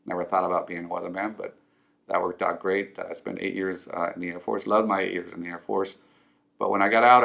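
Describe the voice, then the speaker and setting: A man's voice: deep voice, vocal fry, a bit bright. A middle-aged man who grew up in Mexico and speaks English as a second language, telling his personal story to the camera in an earnest way with frequent ums and restarts. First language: Spanish. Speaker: financial firm owner.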